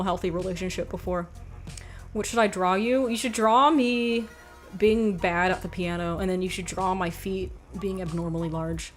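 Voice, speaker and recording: Quiet-voiced woman streamer, rambling chat. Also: mid-range voice. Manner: rambling, frank, laughs often, says "like" a lot. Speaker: livestream host reading chat. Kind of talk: casual chat.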